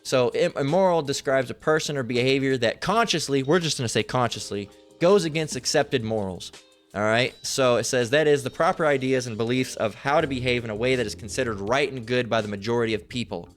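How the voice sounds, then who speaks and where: A man of middle pitch reads passages aloud and talks them over in a loose, cursing, off-the-cuff way. He stumbles over long words and mutters while he clicks around looking things up. Soft music runs under his voice. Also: a little fast. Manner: thinks aloud while searching online. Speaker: online religious commentator. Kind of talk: casual scripture reading and commentary.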